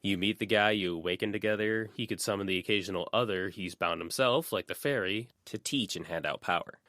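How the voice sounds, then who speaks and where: A man's voice: on the low side, fairly quick, a bit bright, dry recording, studio quality, lightly acted. A man voicing a speculative teenage schoolgirl who theorises eagerly in an audiobook. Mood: speculating. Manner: musing, theorising.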